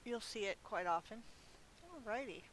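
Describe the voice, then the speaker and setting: A woman with a mid-pitched voice chats casually through her own video game play. She muses in short, offhand remarks without hurry. A clear hiss runs through the home recording. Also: normal speed, low-quality recording. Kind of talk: casual gameplay commentary, talking to self. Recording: hissy home recording, steady noise.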